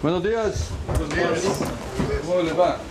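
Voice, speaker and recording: Guy, slightly high voice, far from the mic, pausing between phrases for a translator. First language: Spanish. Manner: measured, pausing for interpretation. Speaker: guerrilla commander. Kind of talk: formal spoken statement. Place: jungle camp outdoors. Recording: distant echoey field recording with loud hiss.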